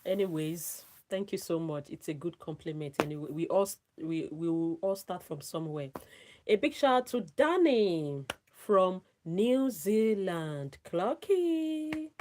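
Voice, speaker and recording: A woman's voice: on the low side, expressive, a little slow, darkish tone, a little hiss or hum. A woman chatting casually as a live streamer, friendly and effusive with her listeners. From Nigeria. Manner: effusive, friendly, often repeats thanks and calls out names. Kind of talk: casual live-stream chat with shout-outs.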